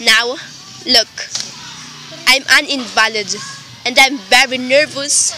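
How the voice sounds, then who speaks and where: A woman's voice: a little high, bright, forceful tone, full character voice. A woman playing a nervous, demanding invalid wife in a radio play, with indignant, melodramatic delivery. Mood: exasperated, nervous. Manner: melodramatic, indignant, slow deliberate emphasis. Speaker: student actor in a radio play.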